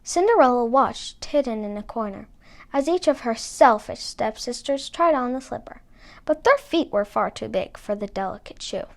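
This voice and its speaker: Female narrator for children's fairy tales, high-pitched, expressive scripted read-aloud. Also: normal speed, creaky. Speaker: storybook reader.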